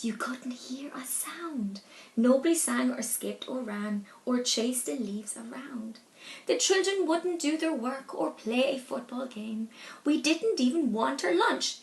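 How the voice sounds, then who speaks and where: A woman reads a children's story aloud with animated, deliberate expression. Her voice is high and she takes her time over each phrase. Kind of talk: expressive picture-book read-aloud. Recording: echoey, roomy sound.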